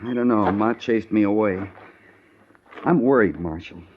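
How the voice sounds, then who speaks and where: A man's voice: lowish voice, unhurried, slight background noise, natural voice. A man playing an earnest, homespun American frontier settler in a 1950s radio western. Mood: worried. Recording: muffled old radio broadcast.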